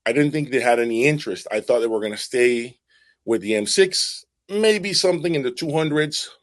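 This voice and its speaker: A man's voice: mid-range voice, a little fast. A man hosting an audiophile channel, giving casual, unscripted product commentary in an emphatic way, repeating his points and checking in as he goes. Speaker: host of an audiophile video channel.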